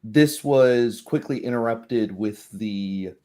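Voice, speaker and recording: A man narrating and recapping a tabletop roleplay as game master, often pausing to search for words. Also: mid-range voice, mellow.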